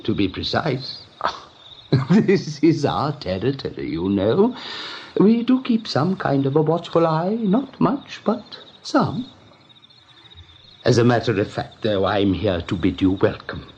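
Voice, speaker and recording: A man's voice: medium pitch, huge pitch swings, slow pace, darkish tone. A man playing an urbane, over-polite, slyly welcoming rabbit in an eighties radio drama, with languid, affected manners. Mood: smooth, amused. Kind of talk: 1980s radio drama acting. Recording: dull, faintly noisy old radio tape.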